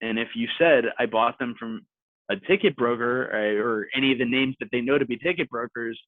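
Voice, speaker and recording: A man from Central New Jersey tells stories as a guest on a casual podcast. He talks with lively, animated energy and drops the occasional curse. His voice comes through a thin, phone-like line with no room sound at all. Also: medium pitch, brisk, no echo. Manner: animated storyteller, swears casually. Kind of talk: casual chat. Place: home room. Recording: tinny phone-like line, dry.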